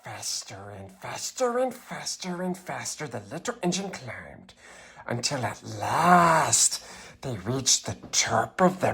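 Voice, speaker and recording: A man voicing a wretched, throaty creature who reads a children's storybook in a theatrical croak. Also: medium pitch, fairly bright tone, full character voice. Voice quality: raspy, strained throaty croak. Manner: theatrical, wildly swooping delivery. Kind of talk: dramatic storybook reading.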